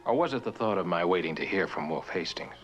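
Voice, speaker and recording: An actor playing a determined, sardonic traveler in a suspense drama, speaking in clipped, insistent, dryly sarcastic lines. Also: male voice, sits low, lively intonation, fast talker, faint music behind. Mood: dry, guarded. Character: determined, sardonic male traveler pressing for answers.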